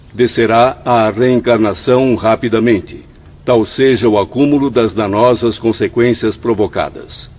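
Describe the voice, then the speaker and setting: A Brazilian actor playing a troubled, resigned man in a radio drama, speaking in solemn measured phrases. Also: mid-range voice, a little mellow, close to an everyday voice. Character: troubled male spirit, doubting yet resigned. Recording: dull lo-fi audio.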